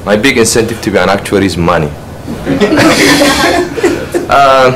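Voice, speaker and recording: A man from Eritrea giving a halting practice speech about himself, restarting often as he searches for words. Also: lowish voice, expressive. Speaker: speech trainee. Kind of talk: practice self-introduction speech. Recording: noisy room ambience.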